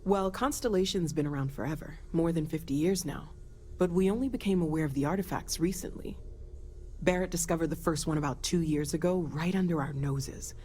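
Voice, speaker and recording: A woman's voice: low voice, fairly quick, warm tone, natural voice. An actress voicing a friendly, thoughtful young woman scientist in a video game, earnest and a little awkward. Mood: informative. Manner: earnest, slightly awkward, tentative. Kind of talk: scripted video game dialogue. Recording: clear background noise and ambience.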